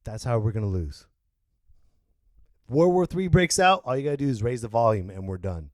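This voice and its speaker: Loud ranting podcast man. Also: mid-range voice, moderate pace, no room sound, clean studio sound. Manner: loud, animated ranting with sudden yells. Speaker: podcast host. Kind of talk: opinion rant.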